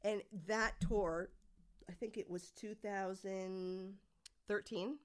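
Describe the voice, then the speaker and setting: A woman's voice: mid-range voice, fairly even intonation, takes it slowly. A middle-aged woman, a guest on a podcast, chatting casually and rambling through stories with lots of fillers. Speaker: guest, musician's mother.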